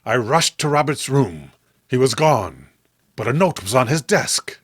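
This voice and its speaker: Male horror storyteller: dramatic, grave, unhurried narration, close dry sound. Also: mid-range voice, lively intonation, breathy edge, no room sound.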